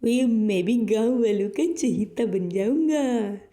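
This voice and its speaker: Woman voicing a brash, chatty cartoon parrot, mellow tone. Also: fairly high pitch, slow, reverberant, pitched below the performer's own voice. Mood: delighted. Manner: folksy, cheeky exclamations and buddy-like address.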